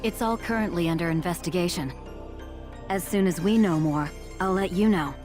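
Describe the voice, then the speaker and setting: A composed, businesslike female agent, as a woman voices her in a game cutscene. She delivers briefings in a crisp, matter-of-fact way at a mid pitch, with faint music underneath. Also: normal speed, a little mellow, close to an everyday voice.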